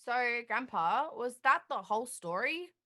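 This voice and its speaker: A woman's voice: fairly high pitch, sing-song, moderate pace, sharp tone, full character voice. A woman playing a small, puzzled, inquisitive grandchild in a comedic audio play.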